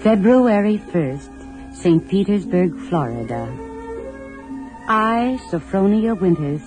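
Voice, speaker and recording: Music plays strongly under a woman of sixty in an old-time radio drama. She reads her diary very slowly, with solemn, deliberate theatrical weight. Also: mid-range voice, animated, rough recording. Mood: solemn, intent. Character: sixty-year-old woman diarist, solemn, newly stirred. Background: musical score.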